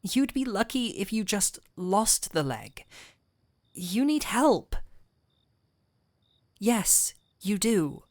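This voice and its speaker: A woman playing a gentle but firm fantasy physician, calm and wry, in an intimate audio roleplay with measured, coaxing delivery. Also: medium pitch, no echo, studio quality. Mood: firm, concerned. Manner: measured, coaxing, wryly stern. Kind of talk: intimate scripted audio roleplay addressed to the listener.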